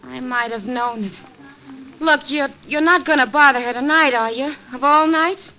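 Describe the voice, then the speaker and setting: A woman's voice: high-pitched, normal speed. A woman playing a blunt, guarded young barroom regular with a slangy, world-weary manner in a 1950s radio mystery. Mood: indignant. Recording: dull, noisy vintage radio recording.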